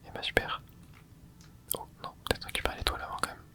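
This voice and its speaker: A man hosting an ASMR channel, whispering soft, casual commentary to himself as he plays a video game, full of gamer slang. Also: fast talker. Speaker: ASMR gaming channel host.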